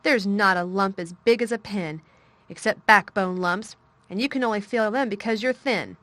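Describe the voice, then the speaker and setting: A woman's voice: mid-range voice, moderate pace, fairly bright tone, dry recording, close to an everyday voice. A woman voicing a fierce, bossy little girl in a temper, scolding and commanding.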